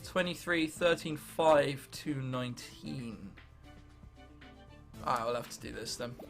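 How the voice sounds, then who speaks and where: A teenage man from England with an English accent, commentating on gameplay and thinking aloud in an excitable, sweary, self-mocking way. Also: medium pitch, slow pace, soft background music. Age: late teens. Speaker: gaming video host.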